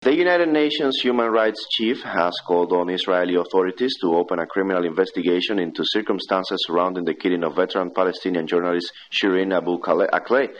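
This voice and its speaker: A man reads scripted radio news in a formal, measured manner. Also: low-pitched. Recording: dull, lo-fi shortwave radio broadcast.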